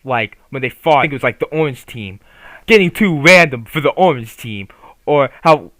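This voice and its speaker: A man's voice: medium pitch, expressive, normal speed, bright, forceful tone, no room sound. A man giving casual, opinionated commentary on a manga, swearing freely and rambling with restarts. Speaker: YouTuber reviewing a manga.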